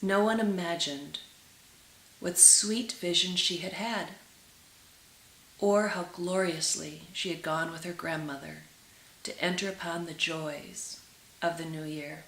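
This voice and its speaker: A woman reading a story aloud in an expressive storybook style, with dramatic, deliberate phrasing and drawn-out words. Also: lowish voice, slight background noise. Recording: roomy, echoing sound.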